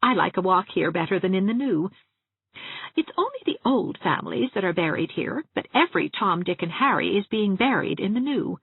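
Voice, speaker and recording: A woman's voice: medium pitch, very animated, fairly quick, husky, dry recording, narrow, tinny audio, voice pushed up. A woman voicing a ladylike small-town spinster dressmaker in an audiobook, chatting genteelly with relish for grim family gossip. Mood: chatty relish. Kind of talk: acted audiobook dialogue.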